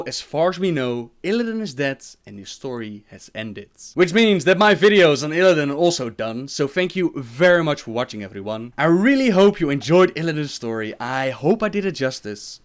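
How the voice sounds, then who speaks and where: A man narrating game lore in a scripted video style. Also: fairly high pitch.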